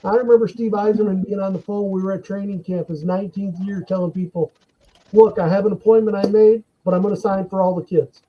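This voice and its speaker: High-voiced man telling stories casually. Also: brisk, low-quality recording. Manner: animated anecdotes, laughs while telling stories. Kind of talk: casual chat, storytelling.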